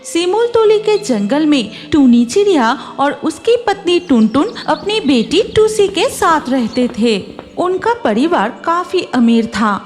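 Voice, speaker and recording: A woman's voice: high voice, sing-song, unhurried, soft background music. A woman narrating a scripted children's story as its storyteller.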